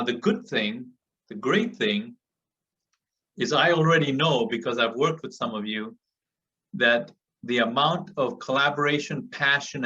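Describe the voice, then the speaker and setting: A man's voice: mid-range voice, pushed and bright. A man from Africa giving an earnest, motivational speech to people on a group call, driving his points home through repetition. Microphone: call audio. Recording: muffled, distant call audio.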